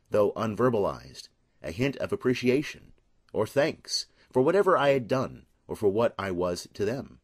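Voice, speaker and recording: A man reading a personal letter aloud in an earnest, measured, deliberate way. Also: low-pitched, no room sound, studio quality. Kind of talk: scripted reading of a heartfelt letter.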